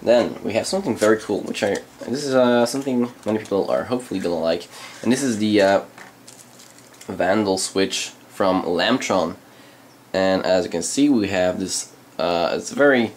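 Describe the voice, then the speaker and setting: A man whose first language is Dutch, hosting a casual unboxing of computer case parts, halting, with many ums and uhs. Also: sits low, slight background noise. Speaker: channel host reviewing PC parts. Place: indoors at a desk, hands on camera.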